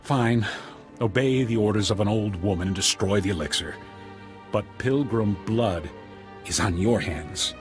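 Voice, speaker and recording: An actor playing a scheming, scornful guard captain in a video game, insistent and persuasive. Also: male voice, on the low side, measured pace, faint music behind, close to an everyday voice.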